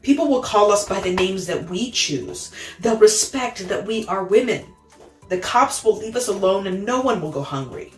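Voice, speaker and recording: A woman's voice: mid-range voice, animated, normal speed, roomy sound, faint music behind, close to an everyday voice. A woman voicing a hopeful, determined young transgender woman activist in a storybook, earnest and rousing. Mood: earnest resolve.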